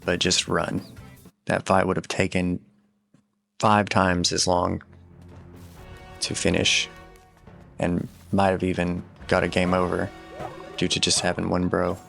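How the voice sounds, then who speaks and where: A low-pitched man talks through a video game walkthrough in a casual, matter-of-fact way. His delivery is extremely monotone and low-key, with a gravelly creak in the voice. Game music plays faintly behind him. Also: unhurried, pauses often. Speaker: game guide commentator.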